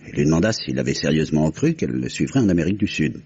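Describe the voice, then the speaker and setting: An actor playing a composed detective, measured and articulate as he explains, in an old French radio crime drama. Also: a bass voice, lively intonation, a little mellow. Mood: methodical storytelling. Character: composed, methodical detective recounting a solved murder. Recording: muffled old radio recording, faint noise.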